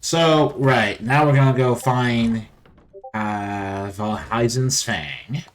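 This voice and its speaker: A man's voice: medium pitch, slow pace. A man hosting a let's play with casual, offhand and wry game commentary.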